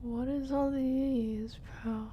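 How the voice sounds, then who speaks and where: A woman's voice: high voice, fairly even intonation, slow pace, dark tone, lo-fi sound, a little hiss or hum. A woman streamer giving casual live gameplay commentary, chatting to herself and her viewers in slangy asides. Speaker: video game streamer.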